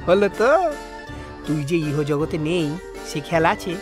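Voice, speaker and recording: A man's voice: a little high, sing-song, a little slow, over music. An actor, a man, playing a teasing young ghost in a comic audio drama, chatty and mocking. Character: cheeky, teasing young male ghost buddy.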